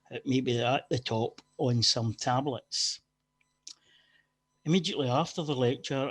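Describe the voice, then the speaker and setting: Male event chair, mid-pitched voice, formal scripted webinar welcome. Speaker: forum chair, event host. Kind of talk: read introduction. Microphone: video call.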